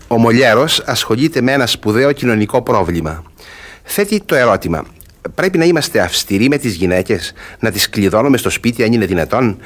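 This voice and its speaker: A man giving a formal scripted introduction to a play as its translator. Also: fairly low pitch.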